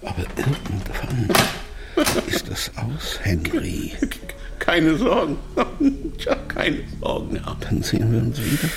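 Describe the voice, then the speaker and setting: An actor playing a captive businessman in a radio drama, articulate and argumentative, halting under strain, defensive and bitter. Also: medium pitch, huge pitch swings, creaky, heavy background noise, exaggerated voice. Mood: weary, bitter.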